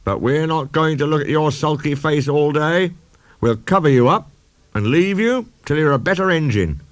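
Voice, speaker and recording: A man's voice: fairly high pitch, expressive, moderate pace, no room sound, voice pushed up, lightly acted. A man voicing a stern, no-nonsense railway manager, firm and exasperated, in a children's audiobook.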